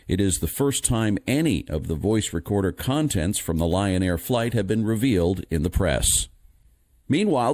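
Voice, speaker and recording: A man reading scripted news stories in a measured, formal manner. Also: lowish voice, darkish tone, no room sound. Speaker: news reader.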